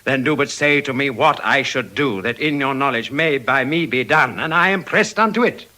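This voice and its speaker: An actor with a British accent playing a devoted, generous Venetian merchant in firm, declamatory classical Shakespearean style. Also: male voice, mid-range voice, expressive, normal speed, bright, forceful tone. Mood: insistent.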